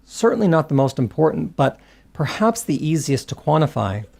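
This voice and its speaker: A man reading scripted video essay narration in a measured, articulate way, with long, carefully built sentences. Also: a little high, sing-song, creaky, a little mellow.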